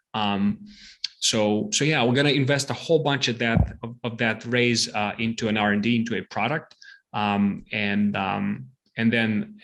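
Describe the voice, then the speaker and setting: A man's voice: on the low side, a bit bright. Middle-aged guy from serbia, startup ceo on a podcast, lots of "you know" and doubled words. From Serbia, Balkans region. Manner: repeats words often, many fillers. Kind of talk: casual podcast interview.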